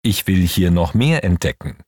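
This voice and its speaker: A middle-aged man from Romania, a Hungarian native speaker and language teacher, slowly and clearly reading a first-person account for a learning audio. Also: deep voice, big pitch swings, a little mellow, no echo, clean studio sound.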